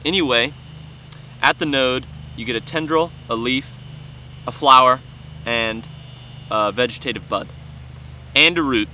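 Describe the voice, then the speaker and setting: A young man in his twenties teaches out in an open farm field, speaking into a clip-on mic. He talks at a middle pitch, eager and hands-on as he walks through each step. A faint hum of passing cars sits behind him. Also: unhurried, long pauses, bright, forceful tone, rough recording. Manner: enthusiastic, explains step by step while handling plants. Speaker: farm educator. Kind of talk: outdoor teaching walk-through. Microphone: lapel mic.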